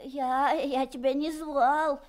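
Very high-voiced little donkey boy. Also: steady tone, takes it slowly, a little mellow. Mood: frightened, pleading. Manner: pleading, stammering. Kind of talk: audio fairy tale acting.

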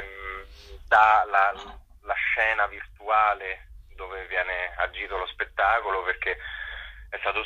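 A man giving spontaneous interview answers as a theatre director, reflective and halting, often rephrasing himself mid-sentence. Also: on the low side, bright, forceful tone. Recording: muffled, lo-fi radio phone-in sound.

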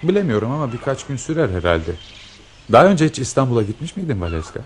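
An actor playing a devoted, protective lover on the run, in a radio drama. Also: male voice, medium pitch, sing-song, fast talker, breathy edge. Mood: earnest. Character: devoted male lover, protective fugitive planning escape.

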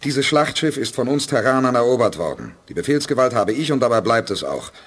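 A firm spaceship commander, stubborn n dryly ironic, really animated pitch, 80s german radio drama. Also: male voice, fairly quick, slightly rough. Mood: firm refusal. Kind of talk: 1980s German radio play acting.

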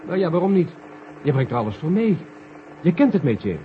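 Animated mission official, noisy muffled recording. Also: male voice, fairly high pitch, brisk. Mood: persuasive. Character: male space mission official, urgent and insistent. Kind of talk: 1970 Dutch radio drama acting.

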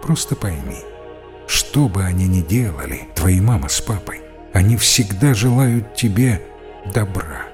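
Man voicing a kindly, low-voiced priest. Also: a little slow, over music. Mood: gentle, reassuring. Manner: calm, encouraging, preachy. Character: kindly, gently stern priest counselling a boy.

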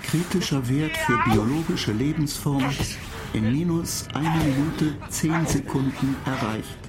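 An actor in a radio play voicing an impassive spaceship computer that makes clipped, monotone technical announcements. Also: medium pitch, unhurried, over loud music, close to an everyday voice. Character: impassive spaceship computer reporting faults and countdowns.

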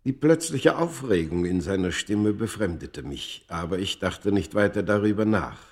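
A man playing a proud ancient Egyptian who narrates his own story in a radio play, solemn, measured and dramatic. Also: sits low, animated, darkish tone. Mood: reflective. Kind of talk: literary first-person narration in a radio play.

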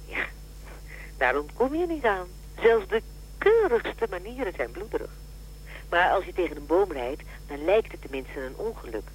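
An actress playing a brisk, matter-of-fact and drily blunt helpline operator in a radio drama. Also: female voice, on the low side, sing-song, slight background noise, rough recording.

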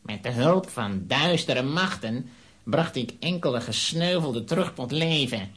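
An actor playing a sinister, boastful sorcerer villain in a children's audio drama, speaking in measured, menacing pronouncements. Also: male voice, mid-range voice, animated, a bit bright, slightly rough.

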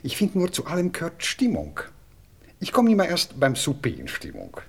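Husky, blasé Viennese count. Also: male voice, a little high, wildly swooping pitch, moderate pace, exaggerated voice. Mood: evasive, musing. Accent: Viennese Austrian. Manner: hesitant, polite, rambling, blasé. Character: affected, melancholic aristocratic cavalry officer, a count, philosophizing. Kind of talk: radio play acting.

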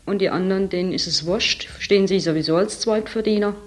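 A woman's voice: mid-range voice, fast. A young woman, a department store saleswoman, frankly describing her workplace in an interview. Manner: frank, critical, talks with some hesitation.

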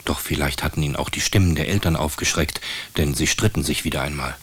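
A man with a deep, husky voice narrates a radio play. His delivery is scripted storytelling. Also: fast, a bit bright.

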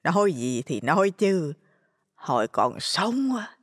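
A man's voice: high voice, very animated, a bit bright, dry recording, clean studio sound, in a large hall, voice pushed up, heavily acted. A man voicing a ghostly old woman, thin-voiced, mocking and eerie, in a horror audio story. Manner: slow, drawn-out, taunting.

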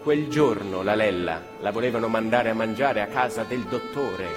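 A man's voice: fairly low pitch, normal speed, music in the background. A man narrating a stage play in an emphatic, declamatory way. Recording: live stage recording in a reverberant theatre.

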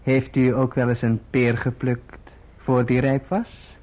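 An actor playing a scheming clerk in a radio play, obsequious and insinuating, on a dull recording. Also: male voice, medium pitch, level tone, slow pace, lightly acted. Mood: smug, insinuating. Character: scheming, falsely humble male clerk, oily and self-satisfied.

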